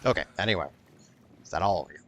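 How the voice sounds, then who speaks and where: A man's voice: deep voice, animated, quick pace, noisy background. A man rambling through casual gameplay commentary, swearing and sounding wryly exasperated as he streams. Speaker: video game streamer.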